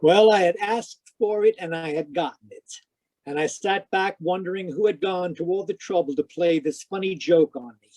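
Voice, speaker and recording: A man playing a wisecracking private detective who narrates deadpan in 1940s radio drama style. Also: sits high, normal speed, no room sound, low-quality recording. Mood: wry narrating. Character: wry wisecracking male private detective narrating his case. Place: live video-call performance, voice close and dry.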